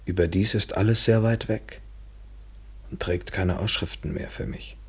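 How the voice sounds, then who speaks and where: Radio drama lover, male: very deep, husky, ironic, measured, world-weary but tender. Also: steady tone. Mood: wry, reflective. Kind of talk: literary German radio play acting, 1970s.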